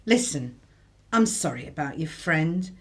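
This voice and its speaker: A woman's voice: low-pitched, animated, measured pace. A woman playing an apologetic, courteous housewife and mother in a radio drama.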